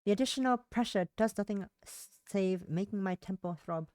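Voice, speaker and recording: A woman's voice: mid-range voice, brisk, darkish tone, dry recording, clean studio sound. A woman livestreamer reading a visual novel's narration aloud, stumbling over words and rereading phrases, with brief casual asides.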